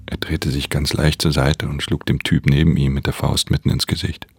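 An actor playing a wary, world-weary pickpocket in a radio play, terse, hushed and matter-of-fact in his telling. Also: very deep voice, level tone, moderate pace, vocal fry. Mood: hushed, tense. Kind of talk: German radio play narration and dialogue. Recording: music under the voice.

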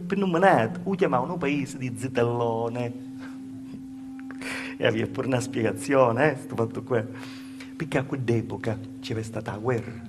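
A man's voice: medium pitch, lightly acted. A male actor with a Calabrian accent playing a humble peasant woman, telling her village past in a plaintive, folksy, repetitive stage monologue. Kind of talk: theatrical dialect monologue over live music. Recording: loud live music behind the voice. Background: live music.

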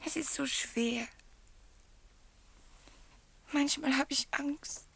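Anxious young wife in a radio drama, plaintive, slightly high voice, hurt but still in love. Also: female voice, fairly even intonation, drawn-out words, creaky, fairly bright tone, slight background noise, exaggerated voice. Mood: tearful. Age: young adult. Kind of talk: radio play dialogue.

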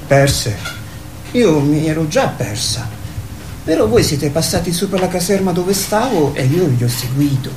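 Jittery, honking young wild goose. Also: female voice, low voice, lively intonation, unhurried. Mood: anxious. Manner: jittery, breaks into honking cries. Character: young female wild goose Emine, nervous, honking, lost. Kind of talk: theatrical radio drama. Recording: roomy sound with faint noise.

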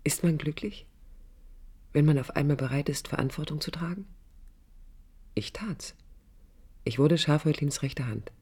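Yearning young woman, husky intimate voice. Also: low voice, moderate pace, pauses often, a little mellow, heavily acted. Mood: musing. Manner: reflective, intimate, at times near whispering. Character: passionate, searching young woman architect, lovelorn. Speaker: radio drama actress, narrator and lead. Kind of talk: 1980s German radio play acting, intimate monologue. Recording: music under a studio radio recording.

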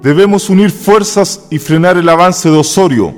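An actor playing a solemn, resolute patriot general in a historical radio drama, speaking in declamatory phrases. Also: male voice, high-pitched, normal speed, lightly acted. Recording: long reverb, faint music.

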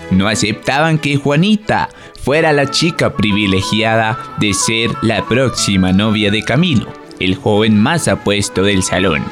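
A man's voice: on the low side, unhurried, few pauses. A man with a Colombian accent giving scripted storyteller narration for a radio drama. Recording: music under the voice.

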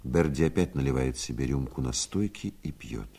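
Narrator for radio play: man, bottomless bass, raspy, reading scripted directions. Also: lively intonation, moderate pace, warm tone.

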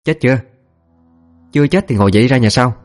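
A man's voice: medium pitch, fast, faint music behind, voice pushed up, lightly acted. A man voicing a grumpy, spiteful young woman, whiny and snappish, with a Southern Vietnamese accent in an audio story. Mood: contemptuous.

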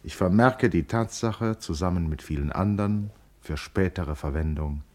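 Really deep voice, grown man as a wry introspective schoolboy, radio play monologue, measured and reflective. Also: close to an everyday voice. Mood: wry, scornful. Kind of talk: literary radio drama monologue.

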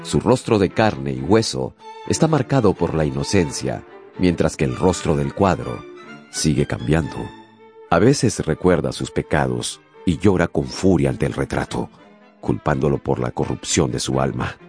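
A man's voice: a bass voice, animated, normal speed, darkish tone. A man narrating a literary radio drama in a scripted, dramatic storytelling style. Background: music.

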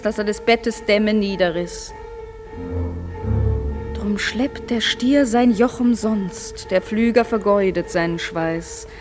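Proud fairy queen, highish voice, indignant, grand deliberate verse, radio drama, music underneath. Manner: grand, deliberate declamation with drawn-out words. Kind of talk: classic radio play in verse. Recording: music behind the voice.

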